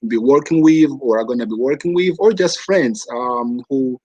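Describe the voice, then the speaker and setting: A man's voice: medium pitch, moderate pace, dark tone, dry recording, dull audio. Rwandan guy hosting a chat show, lots of ums, dry close sound. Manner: chatty, many fillers and restarts. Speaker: host. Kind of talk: casual online interview.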